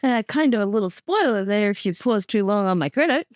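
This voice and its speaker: A woman's voice: mid-range voice, lively intonation, fairly quick. A woman chatting casually and playfully as a co-commentator.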